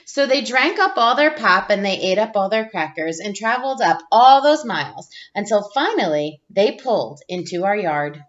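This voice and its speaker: A woman with a mid-range voice reads a children's storybook aloud as a teacher would. Her delivery is expressive, with certain phrases stretched for emphasis. The recording is a little dull and lo-fi. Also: mid-range voice, normal speed, few pauses. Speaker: teacher reading aloud to pupils.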